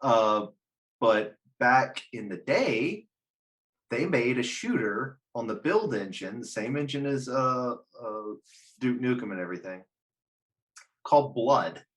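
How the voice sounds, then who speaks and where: A man's voice: mid-range voice, expressive, slow, a bit bright. A man chatting casually about pop culture in a rambling, sweary, self-mocking way. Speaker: podcast panelist. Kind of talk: casual chat about games and films.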